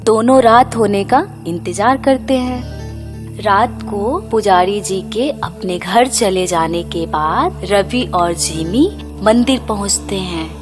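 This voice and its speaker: A woman's voice: mid-range voice, slow, over music. A woman narrating a scripted moral story for children.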